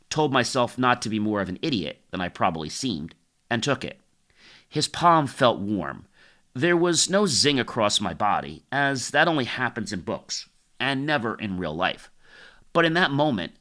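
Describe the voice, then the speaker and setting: Animated male audiobook narrator. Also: medium pitch. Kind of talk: scripted first-person fiction narration, dramatic audiobook reading.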